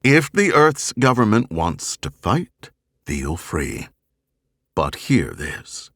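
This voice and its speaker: Audiobook role: icy tyrant female machine mind, played by a man, low-pitched, slow, measured and steely. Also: very animated, fairly bright tone, slightly rough, no room sound, studio quality, exaggerated voice. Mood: cold menace. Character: cold, commanding female artificial intelligence tyrant. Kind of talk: audiobook character voice.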